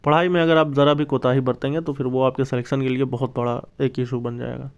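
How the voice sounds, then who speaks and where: A man's voice: mid-range voice, flat delivery, fast, clear, resonant tone, highs cut off. A man hosts an informal explainer for exam aspirants, stressing key points by repeating them. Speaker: exam-news YouTube host.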